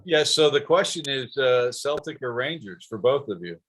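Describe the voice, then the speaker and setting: A man's voice: medium pitch, lively intonation, normal speed, fairly bright tone, breathy edge. A man hosting a golf podcast interview, chatting enthusiastically and asking friendly questions. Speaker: podcast host. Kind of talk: casual interview chat.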